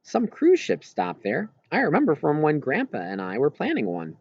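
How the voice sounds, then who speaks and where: A man voicing a direct, quietly bold grandmother in a storybook, husky, with short plain questions and remarks. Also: on the high side, wildly swooping pitch, moderate pace, lo-fi sound, voice pushed up, exaggerated voice. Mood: curious, decided. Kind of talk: storybook character dialogue.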